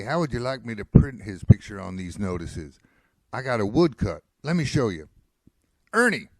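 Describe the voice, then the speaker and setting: A man's voice: lowish voice, dry recording. An actor playing an eager, boastful small-town newspaperman with a folksy, gushing manner in a radio western. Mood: proudly boastful. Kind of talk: old-time radio western acting.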